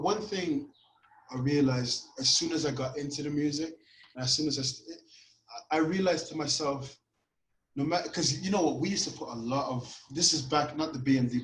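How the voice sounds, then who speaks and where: A man from Canada with a Caribbean-tinged Toronto accent, a podcast guest telling animated stories in casual chat over a video call. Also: medium pitch, a little fast, echoey room, a little hiss or hum, rough recording. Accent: Toronto, Caribbean-influenced. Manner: animated storytelling, lots of "you know what". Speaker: podcast guest, grime artist.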